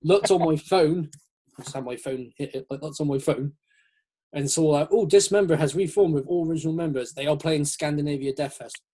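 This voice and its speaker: A man's voice: a little high, a little fast, mellow. A man in a casual, rambling, jokey chat, acting out little quotes as he goes. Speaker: host of a metal chat show.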